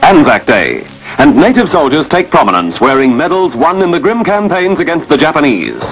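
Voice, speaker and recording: A man's voice: on the high side, big pitch swings, normal speed, husky, rough recording. A man delivers formal, declamatory scripted narration in the style of a 1950s newsreel. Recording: 1950s archival newsreel soundtrack. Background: music.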